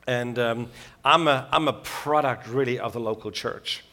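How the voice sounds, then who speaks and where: A man's voice: medium pitch, moderate pace, sharp tone. A middle-aged man from Switzerland, preaching a sermon in a folksy, joking way with many filler sounds. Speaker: guest preacher.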